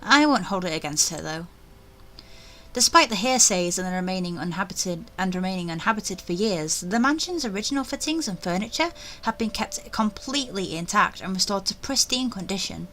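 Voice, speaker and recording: Bright-voiced woman narrating a visual novel. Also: medium pitch, fairly quick. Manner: animated, expressive, chatty. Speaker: gamer reading a visual novel aloud. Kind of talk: first-person narration with asides.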